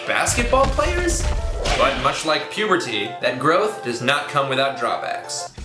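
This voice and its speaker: Theatrical man hosting over loud music. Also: mid-range voice, sing-song, a little slow. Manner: theatrical, sarcastic, with sudden exclamations. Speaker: comedic web video host. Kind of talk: comedic scripted explainer narration. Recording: roomy sound under loud music.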